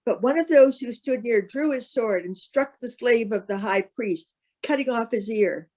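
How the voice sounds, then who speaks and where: A woman reading scripture as narrator, in a measured, formal way. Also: on the high side, darkish tone.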